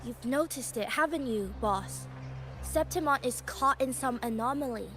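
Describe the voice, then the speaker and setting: A woman's voice: sits high, normal speed, over music, lightly acted. An actress playing a sly, breezy young Taoist woman in a video game, playful, teasing and cryptic.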